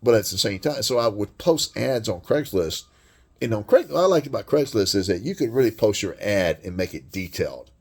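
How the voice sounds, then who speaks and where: Gravelly deep-voiced man ranting. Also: lively intonation, brisk. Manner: blunt and crude, heavy swearing, rambling restarts. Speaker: vlogger. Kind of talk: profane monologue rant.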